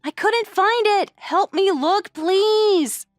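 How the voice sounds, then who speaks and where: Woman acting a high-pitched, haughty rich schoolgirl. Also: takes it slowly, dry recording, studio quality, faint music behind. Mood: whiny, demanding. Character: rich, poised high school girl, sharp-tongued, lazily demanding at home. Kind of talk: anime-style manga dub acting.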